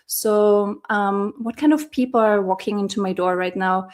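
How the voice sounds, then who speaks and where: A woman from Austria speaks as a coach in a casual interview over a video call. She talks in a mid-pitched voice, thoughtful and hesitant, with frequent ums and small pauses while she searches for words. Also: darkish tone. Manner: thoughtful, hesitant, many ums, laughs often. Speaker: therapeutic coach, guest.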